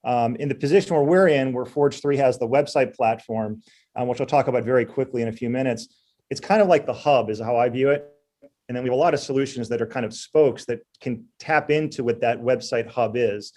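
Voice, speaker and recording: A middle-aged man with a mellow, warm voice hosts a casual webinar over a video call. He talks in a relaxed, chatty way, with plenty of fillers, at a middle pitch. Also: a little fast. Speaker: webinar host.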